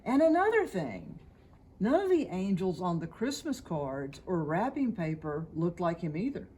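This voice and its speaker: Unhurried, expressive woman storyteller, slightly husky. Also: fairly low pitch. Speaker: storybook reader. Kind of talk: expressive scripted children's story narration.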